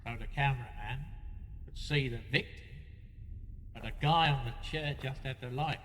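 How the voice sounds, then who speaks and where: A man's voice: medium pitch, normal speed, fairly bright tone, a little hiss or hum, natural voice. A man playing a wry, commanding senior police investigator with terse remarks in a radio play, in a very reverberant room.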